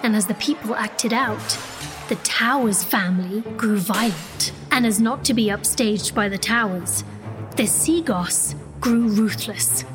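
A woman's voice: mid-range voice, measured pace, over music, natural voice. A woman playing a young, sheltered sorceress who is the earnest, emotional heroine and narrator of an audio drama. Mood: somber narrating.

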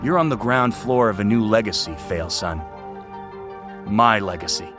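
A man's voice: on the low side, normal speed, music in the background, natural voice. A man playing a brash, contemptuous young college rowing captain in an audio drama, barking and taunting with a commanding manner. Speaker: team captain.

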